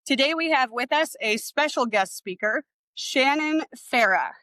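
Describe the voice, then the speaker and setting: A woman's voice: sits high, lively intonation, unhurried, bright, forceful tone, dry recording. Upbeat woman podcast host. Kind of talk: podcast hosting.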